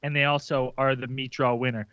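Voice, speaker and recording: A man's voice: mid-range voice, little pitch movement, brisk, fairly bright tone, clear, resonant tone, no room sound, lo-fi sound. A man co-hosting a casual group podcast, rambling through announcements and anecdotes. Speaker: podcast co-host.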